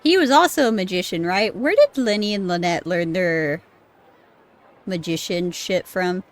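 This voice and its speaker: A woman's voice: fairly low pitch, moderate pace. A woman streaming a game with casual, sweary commentary, musing aloud and poking fun at herself. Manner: sweary, self-deprecating, musing. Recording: game music behind the voice. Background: game music.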